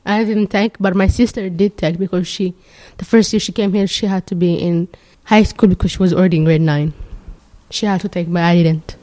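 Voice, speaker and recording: Halting teenage girl. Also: fairly low pitch, steady tone, mellow, poor audio. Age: teens. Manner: plain, halting answers. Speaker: interviewee.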